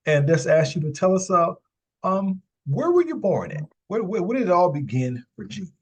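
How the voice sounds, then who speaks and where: A man's voice: high voice, big pitch swings, moderate pace, a little mellow, husky. A man hosting an interview podcast, upbeat in a scripted intro, then asking chatty questions with repeated words. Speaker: podcast host.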